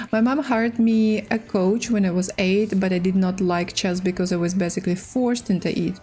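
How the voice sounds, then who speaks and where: Female chess streamer, native Russian with Russian accent. Unhurried, halting English, mid pitch, music underneath. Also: dark tone. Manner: halting, hesitant English with pauses. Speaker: livestream host and chess player. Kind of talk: casual livestream chat while playing chess.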